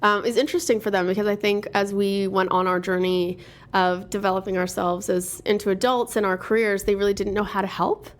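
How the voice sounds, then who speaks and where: A middle-aged woman from Toronto, talking as an interview guest in an upbeat, relaxed way with many ums. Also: mid-range voice, brisk. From Toronto, Canada. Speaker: interview guest, marketing executive. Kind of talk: casual conversation.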